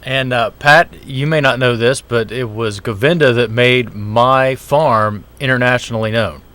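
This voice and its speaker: Male host, mid-pitched, chatty and upbeat, reads show intro and banters with guests in a campus studio. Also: a little slow, a bit bright. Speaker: show host, small business center director. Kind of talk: talk show hosting. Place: university TV studio.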